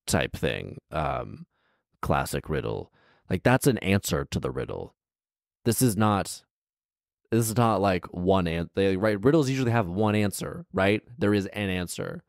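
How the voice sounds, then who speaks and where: A man's voice: sits low, vocal fry, dry recording, studio quality. A man hosting a story podcast, giving casual comedic commentary in an incredulous, wry, slangy way with emphatic repeats. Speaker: podcast host reading and riffing on a fairy tale.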